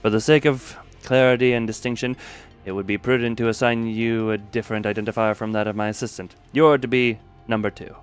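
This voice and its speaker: A man voicing a composed, scholarly male researcher from a video game, measured, formal and pedantic. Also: mid-range voice, fairly even intonation, clear, resonant tone, voice pushed down, lightly acted. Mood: cool, measured. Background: music.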